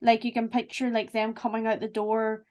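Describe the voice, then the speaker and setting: A woman's voice: a little high, completely flat delivery, normal speed, darkish tone. A woman talking as a podcast guest in a casual group chat, with an effusive, emphatic way of speaking.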